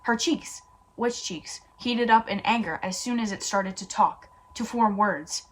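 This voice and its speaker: A woman's voice: mid-range voice, measured pace, a little hiss or hum. A woman hosting a comedic read-aloud, playful and mock-dramatic, narrating a story and dropping in her own asides. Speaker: YouTube host.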